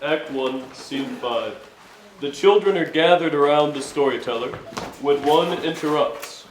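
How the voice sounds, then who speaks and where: A man's voice: a little high, measured pace. A man reading stage directions as scripted narration in a staged reading. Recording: echoey room, faint noise.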